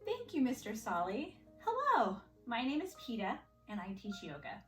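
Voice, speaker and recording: A woman teaches yoga to children in a fairly high voice with animated intonation. She sounds a little way from the microphone in an echoey room, with faint music behind her. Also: normal speed. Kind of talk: instructional talk to children. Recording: roomy echo, distant mic, faint music.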